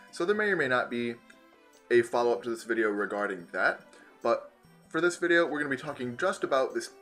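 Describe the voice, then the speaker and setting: Hoarse man explaining science, bright voice. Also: mid-range voice. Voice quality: slightly raspy. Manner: enthusiastic, conversational, with filler "you know". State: hoarse from a cold. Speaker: host of an educational science channel.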